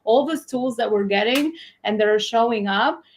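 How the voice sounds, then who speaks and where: A woman's voice: on the high side, moderate pace, slight background noise. A woman hosting a conversational panel interview, talking emphatically about business and often repeating phrases for effect. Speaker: host of a panel interview show.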